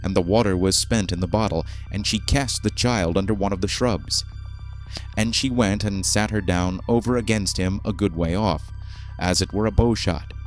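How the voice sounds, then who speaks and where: A man with a fairly low voice gives a solemn scripture narration. His delivery is measured and scripted, with faint music underneath. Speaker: Bible reader, narrator.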